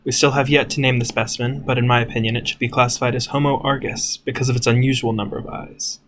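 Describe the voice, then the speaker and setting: Guy as a formal chilly scientist dictating notes, middling pitch, radio play, faint noise. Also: level tone, a bit bright, lightly acted. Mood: clinical. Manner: formal, measured. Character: cold, clinical male head scientist dictating log. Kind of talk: radio play acting, scripted log.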